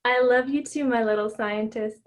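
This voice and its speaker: Patient, caring picture-book mother acted by a woman, high voice, teaching tone. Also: moderate pace, close to an everyday voice. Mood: patient instructing. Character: caring, patient mommy teaching her daughter hygiene, storybook.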